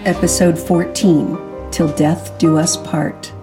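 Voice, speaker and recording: A woman with a husky, creaky voice reading a scripted podcast introduction as host. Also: on the low side, level tone, takes it slowly, music in the background.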